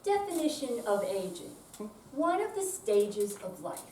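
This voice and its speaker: Older female reader, lively swooping pitch, stage reading, distant in an echoey room. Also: measured pace, slight background noise. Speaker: amateur readers theater performer. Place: room at a senior center.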